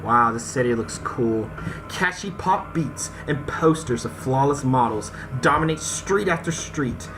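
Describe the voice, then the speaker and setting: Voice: medium pitch, animated, a little slow, rough recording. A gaming host reading a visual novel aloud in a let's-play, stumbling over some words and talking straight to viewers. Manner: reads text aloud, stumbles on words, addresses viewers directly. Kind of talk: let's-play narration with casual asides. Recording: music behind the voice. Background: visual novel game music.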